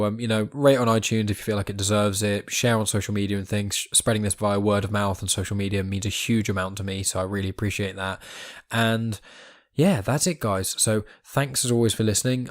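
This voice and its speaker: A man's voice: on the low side, dry recording, clean studio sound. A man hosting a podcast, giving a casual, unscripted outro in a rambling, chatty way with self-deprecating asides. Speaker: podcast host.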